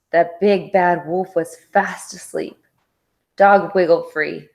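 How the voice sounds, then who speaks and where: A woman teacher reading a children's storybook aloud with expressive narration. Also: medium pitch.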